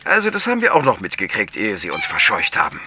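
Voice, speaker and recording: A man's voice: lowish voice, huge pitch swings, quick pace, noisy background. An actor playing a talkative, matter-of-fact village policeman explaining things emphatically on the phone in a radio play. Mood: eager, informative.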